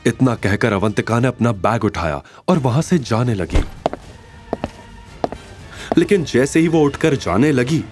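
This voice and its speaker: A man's voice: medium pitch, sing-song, measured pace, long pauses. A man narrating a scripted audio drama story in a dramatic style. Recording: faint music behind.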